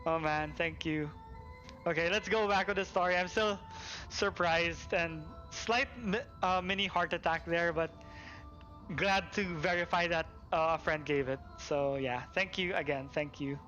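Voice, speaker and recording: Male game streamer, higher-pitched, excitable and chatty, casual live delivery over clear game music. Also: a little slow, muffled recording. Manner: excitable, repeats thanks, chuckles often. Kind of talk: casual livestream chat. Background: game music and character voices.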